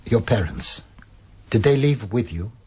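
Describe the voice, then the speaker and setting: An actor playing a grave, gently probing man in a radio drama, polite and deliberate. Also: lowish voice, animated, breathy edge, exaggerated voice. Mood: grave, sympathetic.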